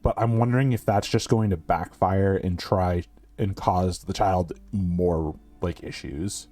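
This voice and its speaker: A man with a deep voice casually commenting on a game as he streams, reading its text aloud between asides. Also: steady tone, a little slow, mellow, faint music behind. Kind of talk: casual let's-play commentary.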